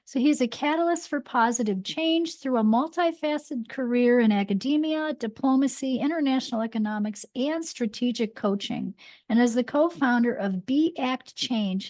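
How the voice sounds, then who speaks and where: A woman's voice: on the high side, a little mellow, no room sound. A woman hosting an interview show, introducing her guest with enthusiasm and keeping him going with encouraging affirmations. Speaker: podcast host. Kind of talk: interview host introduction and chat. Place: Albuquerque area.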